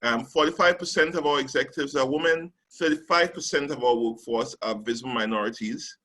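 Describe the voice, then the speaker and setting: A man from Trinidad and Tobago leads a webinar as a recruitment specialist, with a bright, forward tone. He talks in a measured way, pausing on ums between points. His voice comes through a remote call microphone. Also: mid-range voice, poor audio. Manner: measured, with frequent ums and slide cues. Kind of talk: webinar presentation.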